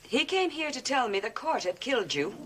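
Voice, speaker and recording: An actress playing a poised, secretive woman who probes with smooth, coaxing concern, in theatrical 1970s gothic television soap style. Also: on the high side, very animated, a little fast, noisy background.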